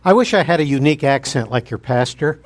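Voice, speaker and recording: A man's voice: mid-range voice, animated, fast talker. A man, a guest preacher opening his sermon in a jokey, folksy manner.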